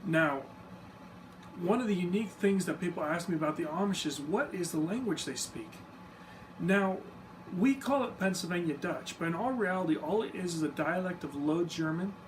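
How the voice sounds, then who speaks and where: Male explainer, slightly high voice, relaxed and informal, recorded from a distance. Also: normal speed. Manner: easygoing, self-deprecating, informal asides. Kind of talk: casual explanatory talk to camera. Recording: distant mic with steady background noise.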